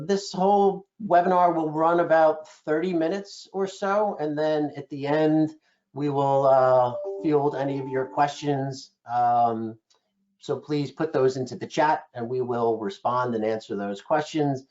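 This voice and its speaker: A man's voice: fairly high pitch, measured pace, darkish tone, lo-fi sound. A man hosting and introducing a webinar in a casual way, with many fillers. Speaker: webinar host.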